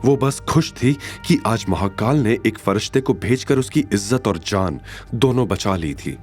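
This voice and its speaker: Low-voiced man narrating a dramatic story. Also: animated, unhurried, darkish tone, faint music behind.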